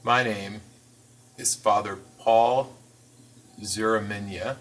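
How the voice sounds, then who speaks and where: A man voicing a signed interview as interpreter, phrasing things in a measured, careful way with the occasional restart. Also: on the low side, fairly even intonation, fairly bright tone, recorded from a distance. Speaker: sign language voice interpreter.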